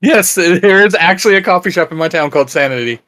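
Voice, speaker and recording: A man's voice: high voice, expressive, fast talker, sharp tone. A man co-hosting a casual chat with jokey, teasing banter. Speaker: co-host.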